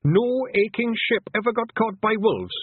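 A man voicing a small, frantic male pixie chief with a Scottish accent, shrill and gabbling. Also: very animated, moderate pace, breathy edge, dry recording, full character voice. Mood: panicky. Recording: tinny, phone-like lo-fi audio.

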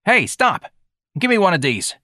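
A man's voice: high-pitched, big pitch swings, moderate pace, bright, forceful tone, dry recording, clean studio sound, voice pushed up, exaggerated voice. A man voicing a surly, sneering teenage boy with brash, slangy speech, in an audiobook story.

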